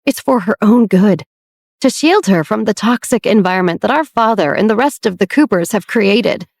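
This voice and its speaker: A woman's voice: mid-range voice, lively intonation, moderate pace, darkish tone, no echo, studio quality. A woman voicing a guarded older sister who speaks in a firm, clipped, resolute way. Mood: cold, resolute.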